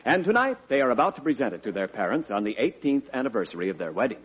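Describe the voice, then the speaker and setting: A man's voice: medium pitch, big pitch swings, racing, husky. A man announcing and narrating a 1940s radio comedy in a polished, tongue-in-cheek formal style. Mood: genial, mock-formal. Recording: muffled vintage radio broadcast recording.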